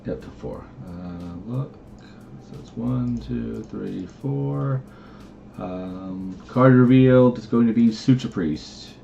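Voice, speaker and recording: A man with a Boston accent playing a card game, laid-back and counting his cards out loud. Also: medium pitch, slow pace, creaky, faint music behind. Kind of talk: casual game-table chat. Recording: dull lo-fi audio.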